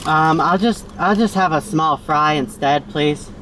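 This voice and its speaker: A man in his twenties with a fairly high voice, sometimes squeaky from helium. He chats casually with fast-food staff as he films a prank, with clear vehicle noise around him. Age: 20s. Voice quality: at times squeaky from inhaled helium. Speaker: prankster filming a drive-thru video. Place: inside a car at drive-thru windows. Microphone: in-car camera a short distance away.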